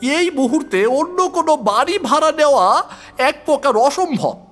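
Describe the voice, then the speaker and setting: A man voicing an excitable, exasperated friend pleading for help in an audio horror story, with an animated, complaining, colloquial manner. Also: very high voice, moderate pace, pitched above the performer's own voice. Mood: worried, pleading. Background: music.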